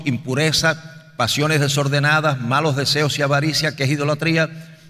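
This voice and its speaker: A man's voice: mid-range voice, pushed and bright. A man preaching an expository Bible lesson in a didactic, emphatic way, repeating key phrases for stress. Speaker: preacher teaching a Bible study. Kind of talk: expository sermon with scripture reading. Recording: reverberant hall with long echo.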